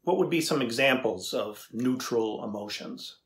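A middle-aged man playing a thoughtful AI researcher in a dramatized interview, deliberate and conversational as he probes. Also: mid-range voice, animated, close to an everyday voice. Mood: probing, thoughtful. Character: thoughtful male AI researcher questioning a machine. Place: indoor room with backdrop, seated.

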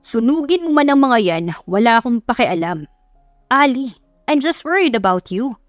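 A woman's voice: on the high side, very animated, normal speed, no room sound, soft background music, pitched above the performer's own voice. Young male executive, commanding, smitten, direct, for romantic audio drama; woman performing, dry close sound. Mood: exasperated, worried. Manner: blunt, commanding, serious. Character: stern, protective young male CEO, smitten and blunt.

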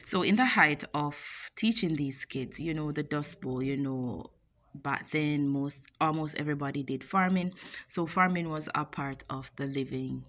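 Low-voiced Jamaican woman telling a story. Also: moderate pace. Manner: chatty, animated retelling with playful asides. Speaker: teacher and vlogger. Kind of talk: casual story time vlog. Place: classroom, indoors. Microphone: phone camera at arm's length.

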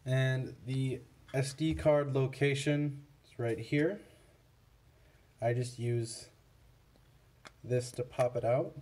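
A man giving a casual, unscripted product comparison in a halting, matter-of-fact way. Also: medium pitch, warm tone, a little hiss or hum. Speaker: product reviewer for a scanner seller.

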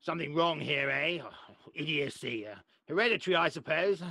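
An actor playing a blustering, pompous elderly English lord who stammers and splutters indignantly in a radio play. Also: male voice, fairly high pitch, moderate pace, creaky, bright, forceful tone, dry recording, heavily acted. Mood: exasperated grumbling.

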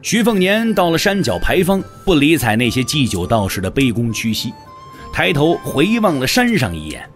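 A man's voice: on the high side, very animated, moderate pace, soft background music. A man narrating a scripted martial-arts audio drama as a dramatic storyteller.

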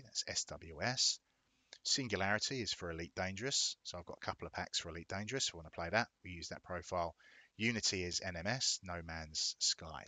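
A man narrating a casual software tutorial in a plain-spoken, step-by-step explanatory way. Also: sits low, no echo. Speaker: video tutorial presenter.

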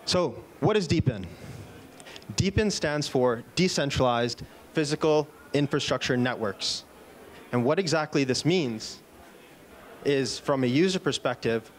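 A man in his thirties giving a product keynote at a conference, upbeat, with a few fillers and restarts, speaking into a handheld mic on an indoor stage. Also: medium pitch. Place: conference stage in a large indoor event room. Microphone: handheld microphone.

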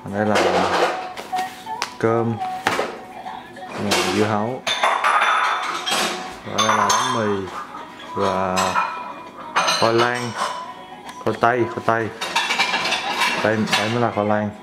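A young man with a fairly low voice talks through his meal prep in a home kitchen, relaxed and chatty, explaining each step. Loud background music plays under his voice. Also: takes it slowly, long pauses. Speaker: vlog host. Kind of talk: casual cooking vlog narration.

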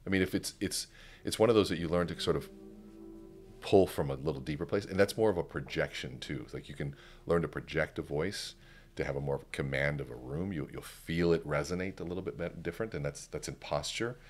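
A middle-aged man, a guest speaker coach on a podcast, talking in a practiced, calm baritone with deliberate pauses, recorded on a studio microphone. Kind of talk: conversational podcast interview. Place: podcast studio with curtained backdrop. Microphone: studio microphone on a stand, headphones on.

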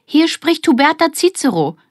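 A woman's voice: high-pitched, very animated, moderate pace, no echo, clean studio sound, voice pushed up. A woman voicing a girl who poses as a formal grown-up lady, with an affected, disguised voice, in a children's audiobook. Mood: put-on politeness.

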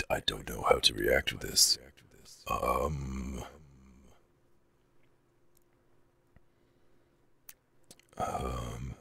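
Gravelly, extremely deep, hesitant dragon. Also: male voice, a bit bright, full character voice. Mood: flustered, stammering. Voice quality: very deep, gravelly growl with breathy rasp. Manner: hesitant, stammering. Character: shy, proud, huge male dragon, flustered and earnest. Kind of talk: ASMR audio roleplay.

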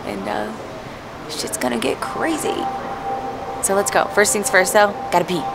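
A woman in her thirties chatting excitedly to a handheld camera, at a pool and then in a gym at night. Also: mid-range voice, moderate pace, vocal fry. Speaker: bodybuilding vlogger. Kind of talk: casual vlog to camera. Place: poolside outdoors, then large gym at night. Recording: music playing behind.

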